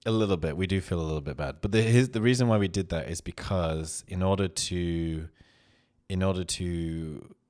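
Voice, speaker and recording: A man's voice: deep voice, normal speed, darkish tone. A man of thirty, co-hosting a podcast in loose, rambling chat, often restarting sentences and laughing. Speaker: podcast co-host. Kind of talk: casual chat. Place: London.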